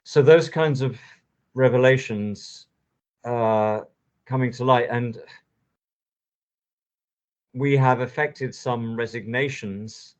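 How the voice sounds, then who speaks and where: A man's voice: mid-range voice, slow pace, long pauses. A man hosting a conversational interview, giving emphatic, impassioned opinions. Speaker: podcast host. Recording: dull lo-fi audio.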